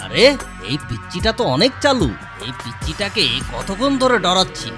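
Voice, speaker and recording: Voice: mid-range voice, sing-song, moderate pace, music in the background, lightly acted. A performer voicing grown-up village figures in a children's cartoon story. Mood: scheming. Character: holy hermit with magic, and a scheming village elder.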